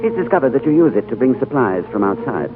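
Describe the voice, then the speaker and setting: An actor playing an earnest, thoughtful diplomat who also narrates, in a 1930s radio drama on an old broadcast recording. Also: male voice, mid-range voice, sing-song, fast, lightly acted. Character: thoughtful male British diplomat narrating his tale. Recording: old phone-like radio broadcast, faint music.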